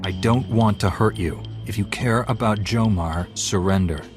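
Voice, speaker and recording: A man's voice: fairly low pitch, normal speed, music in the background, lightly acted. An actor playing a principled young male Jedi knight in a video game, earnest and resolute. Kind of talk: dramatic video game dialogue.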